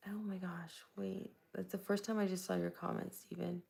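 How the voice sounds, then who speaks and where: Woman from Tampa hosting a live stream: soft-spoken, low and heavily gravelly, chatting easily with viewers. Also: level tone, moderate pace. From Tampa, Florida. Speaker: livestream host. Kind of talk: casual live chat answering viewers. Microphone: plugged-in microphone.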